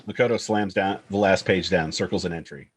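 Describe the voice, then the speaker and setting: A man's voice: low-pitched, a little fast, slight background noise. A man narrating screenplay stage directions at a table read.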